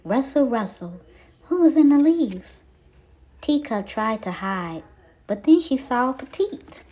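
High, swooping woman storyteller for children. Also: very animated, warm tone, breathy edge, rough recording. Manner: theatrical, drawn-out emphasis addressed to little children. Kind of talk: expressive read-aloud of a picture storybook for young children.

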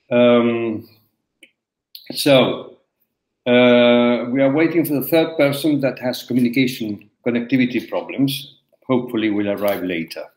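A man's voice: fairly low pitch, darkish tone. Older man from Argentina, roomy webcam audio. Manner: halting, many fillers and restarts. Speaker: webinar moderator. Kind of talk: opening remarks on a video call. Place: room at home. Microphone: laptop webcam on a video call. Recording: roomy video call audio.

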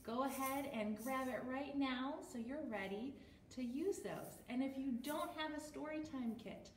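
A young American woman speaking as a storytime host for toddlers, in an animated, sing-song, exaggerated child-directed way. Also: high voice, normal speed. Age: young adult. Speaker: children's librarian hosting storytime. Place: small bare room indoors at home. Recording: roomy, distant mic, background noise.

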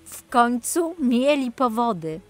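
A woman reading a scripted children's story as an expressive audiobook narrator. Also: a little high, takes it slowly. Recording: music behind the voice. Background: music.

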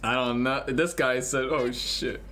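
A young man in his twenties giving casual, low-key reaction commentary with muttered asides. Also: fairly even intonation, measured pace, bright, forceful tone, a little hiss or hum. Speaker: reaction streamer. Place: small bedroom, indoors. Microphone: over-ear headset, desk mic.

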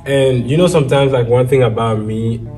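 A man from Cameroon teaches from scripture with earnest conviction that builds into fervent emphasis. His voice is dark and mellow. He sits a little back from the mic, with soft guitar music underneath. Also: mid-range voice, normal speed, distant mic. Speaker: apostle, co-host.

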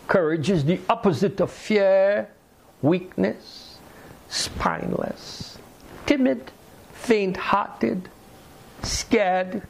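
An older man preaching a sermon from a lectern in a small room, slow and deliberate with pauses. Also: high-pitched, gravelly. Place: small indoor room by a fireplace mantel.

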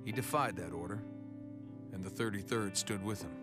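A man acts out a weathered American special forces captain for a military video game. His voice is on the low side, gruff and commanding with dry sarcasm, and music plays behind him. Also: normal speed, natural voice. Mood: reflective, grave. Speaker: army captain.

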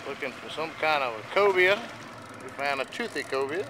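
A man's voice: a little high, animated, moderate pace. A man giving casual, playful commentary while fishing, speaking outdoors from a boat into a handheld camera. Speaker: fishing video host. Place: outdoors on a small boat at sea. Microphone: handheld camera in open air. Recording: loud boat engine and outdoor noise.

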